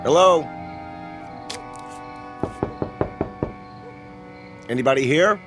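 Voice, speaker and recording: A man's voice: a little high, animated, quick pace, fairly bright tone. An actor playing a stubborn, protective, middle-aged suburban father in a radio drama. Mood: wary. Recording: music underneath the voice.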